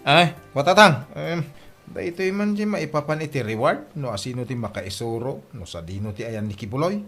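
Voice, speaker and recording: A man's voice: mid-range voice, lively intonation, normal speed, a bit bright, faint music behind. A man with an Ilocano accent acting as a lazy young dreamer in a radio comedy drama, evasive, whiny and boastful. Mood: sheepish, excited.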